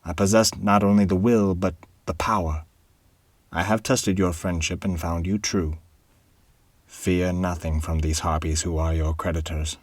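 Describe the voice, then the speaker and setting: A man voicing a shrewd dwarf guardian in a storybook reading, calm, firm and menacing. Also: deep voice, measured pace, no echo. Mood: reassuring.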